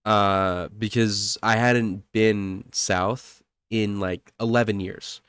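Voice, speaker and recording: A congested young man co-hosts a casual podcast, his voice low and smooth under the stuffiness. He rambles and corrects himself as he goes. The sound is close and dry, with no room around it. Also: steady tone, takes it slowly, a bit bright, no echo. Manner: rambling, self-correcting, laughs often. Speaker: podcast co-host.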